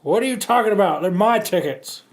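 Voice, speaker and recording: A man's voice: sits high, animated, a little fast. A man playing a blunt henchman coworker, offhand and dismissive, in an amateur comic book reading.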